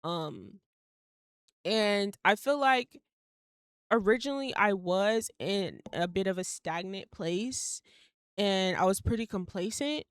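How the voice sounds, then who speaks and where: A young woman in her early twenties talks through her thoughts alone on her podcast, loose and rambling, dropping fillers and the odd curse with a laugh. Her voice is smooth and clean, set at an ordinary middle pitch. The sound is dry and close, with no room around it. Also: expressive, slow, dry recording. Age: young adult. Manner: rambling, lots of fillers, swears casually, laughs mid-sentence. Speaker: podcast host. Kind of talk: casual solo podcast monologue.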